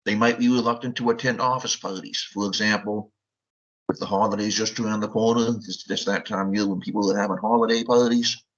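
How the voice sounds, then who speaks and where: A man's voice: fairly low pitch, fairly even intonation. A man explaining his point steadily on a panel discussion. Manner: measured, explanatory. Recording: dull lo-fi audio.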